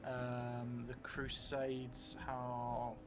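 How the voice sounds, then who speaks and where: A middle-aged man thinking aloud as he narrates a solo tabletop role-playing game, halting between phrases. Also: medium pitch, monotone, noisy background. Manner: halting, pausing between phrases while writing. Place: indoor room, seated at a table. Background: music.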